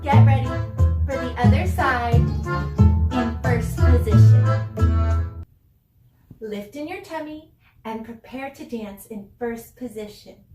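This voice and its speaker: A woman's voice: on the high side, takes it slowly, pauses often. A woman giving ballet class instruction as a dance teacher, demonstrating and counting in an encouraging, instructive way. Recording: roomy echo, distant mic, music behind.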